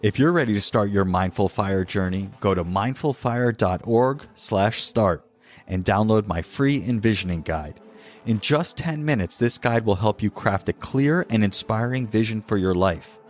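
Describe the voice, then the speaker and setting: A man with a fairly low voice hosts a podcast. He sounds earnest and enthusiastic. Faint music plays in the background. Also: normal speed. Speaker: podcast host. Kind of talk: scripted intro then interview.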